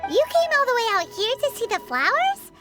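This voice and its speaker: A woman voicing a tiny, blunt and perky childlike fairy companion in a video game, with a squeaky, expressive delivery. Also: brisk. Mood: puzzled, curious. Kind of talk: video game cutscene dialogue. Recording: music under the voice. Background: background music.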